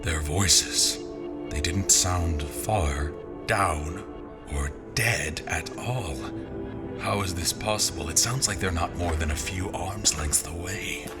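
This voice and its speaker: An actor playing a brooding adventurer who recounts a perilous journey in solemn, literary audio drama narration. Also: male voice, low-pitched, takes it slowly. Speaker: narrator and character. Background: music.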